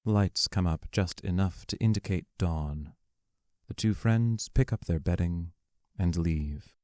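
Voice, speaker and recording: A woman's voice: rumbling low, measured pace, dark tone, dry recording, clean studio sound. A woman reading the stage directions of an audio play as narrator.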